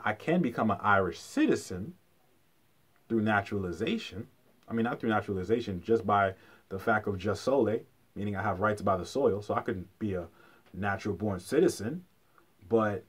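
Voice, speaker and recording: A man's voice: on the low side, lively intonation, normal speed, slightly rough. A man giving an informal explanatory lecture in colloquial speech, emphatically repeating key phrases and asking rhetorical questions.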